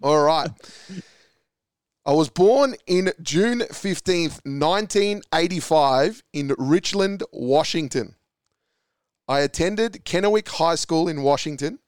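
Upbeat Australian male quiz host, smooth and crisp, dry close recording. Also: fairly high pitch, animated, slow pace, dry recording. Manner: upbeat, reads clues then calls answers. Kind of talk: hosting a podcast sports quiz.

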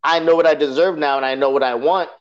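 A man's voice: on the high side, steady tone, quick pace. A man in his thirties talking emphatically in a slangy, casual way as a podcast guest. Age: 30s. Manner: emphatic, slangy, repeats "you know what I'm saying". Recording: dull lo-fi sound.

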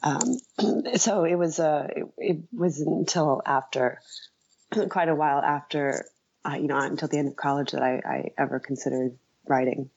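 Low, creaky American woman, halting. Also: darkish tone, muffled recording. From Iowa, United States. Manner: hesitant, halting, repeating words as she thinks. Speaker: interview guest, writer and journalist. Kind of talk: casual interview conversation.

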